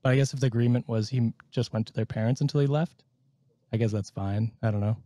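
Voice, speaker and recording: A man's voice: mid-range voice, quick pace, dark tone, no room sound. A man from Canada, chatting casually as a podcast co-host and hedging his opinions. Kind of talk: casual chat.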